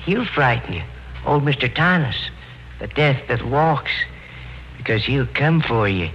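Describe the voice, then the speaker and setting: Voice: medium pitch, animated, measured pace, dull audio. An actor playing an eerie, folksy local who warns ominously of a walking dead man, in 1950s radio horror style. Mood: menacing, gloating. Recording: hissy old radio recording, long reverb.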